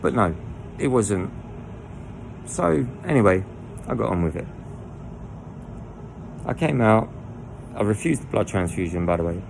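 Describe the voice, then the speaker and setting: A man with a croaky voice, telling his own story in a rambling way full of restarts and asides. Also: fairly low pitch, expressive, unhurried, pauses often, noisy background. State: croaky voice from lying down. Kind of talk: candid personal storytelling. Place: indoors lying down at home.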